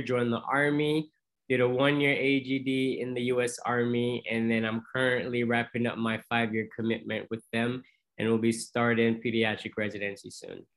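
A man in his thirties from Jamaica, a dentist answering interview questions in a measured, explanatory, conversational way. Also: mid-range voice, a bit bright, poor audio. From Jamaica, moved to the US as a teenager. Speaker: podcast guest, dentist.

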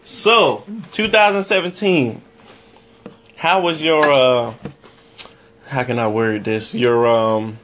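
A man's voice: mid-range voice, slow, pauses often, a bit bright. A man hosting a casual podcast chat, teasing his co-hosts and pushing the talk along. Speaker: podcast host.

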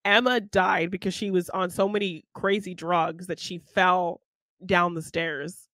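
A woman's voice: fairly low pitch, fairly even intonation, a little slow, fairly bright tone, no room sound. A woman co-hosting a podcast, casually retelling a film in an informal, conversational way. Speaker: podcast co-host.

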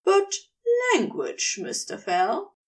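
Woman voicing a measured, commanding, prim man. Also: on the high side, wildly swooping pitch, far from the mic, exaggerated voice. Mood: calm, chiding. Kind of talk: audiobook character voice.

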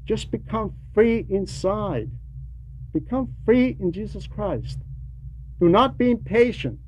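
A man giving a homily to a class in a deliberate, didactic way, pausing between short phrases and repeating words for emphasis, on a dull, lo-fi recording. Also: high-pitched, expressive, darkish tone. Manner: didactic, poses rhetorical questions. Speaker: religious teacher reading a translated homily to a class. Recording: dull lo-fi recording with faint noise.

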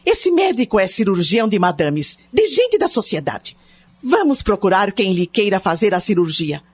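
A protective, fretful mother acted by a woman talks in a husky voice with lively rises and falls. The radio play sound is somewhat dull. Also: mid-range voice, normal speed. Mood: indignant. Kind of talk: radio drama acting.

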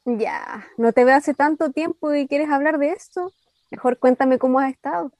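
A high-voiced, teasing Chilean woman. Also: moderate pace, a little mellow, no room sound. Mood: casual, curious. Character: inquisitive, teasing, affectionate young woman. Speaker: actor. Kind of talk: radio drama acting, casual dialogue.

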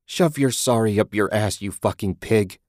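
A man voicing a furious, grieving woman in an audiobook, vengeful, forceful and profane as the character confronts someone. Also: lowish voice, moderate pace, no room sound, clean studio sound, natural voice. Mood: furious, scornful.

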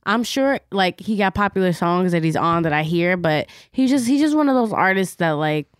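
Smooth-voiced relaxed podcast co-host woman. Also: lowish voice, moderate pace, dry recording, clean studio sound. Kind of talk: casual chat.

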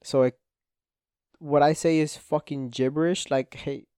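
A man's voice: a little high, steady tone, measured pace, warm tone, smooth, no echo, clean studio sound. A young man, a Spanish speaker, hosting a casual solo podcast, rambling and self-deprecating with lots of swearing and "like" fillers. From Spanish-speaking home. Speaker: podcast host.

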